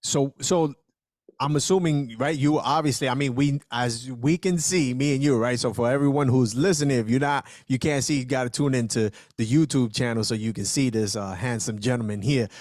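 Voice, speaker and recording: A man's voice: mid-range voice, moderate pace, a little mellow, no echo, clean studio sound. A man hosting a podcast, greeting and questioning his guest with enthusiastic, casual energy. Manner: enthusiastic, repeats "outstanding". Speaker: podcast host.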